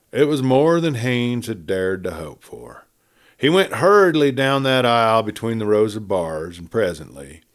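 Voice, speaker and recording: A man reading a western story aloud in a dramatic, folksy, expressive storytelling manner. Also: on the low side. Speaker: audiobook reader.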